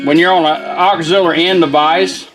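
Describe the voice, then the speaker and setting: A man with a Southern US accent giving a casual, plain-spoken, unhurried product demonstration as a radio restorer. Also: on the high side, steady tone, music in the background, low-quality recording.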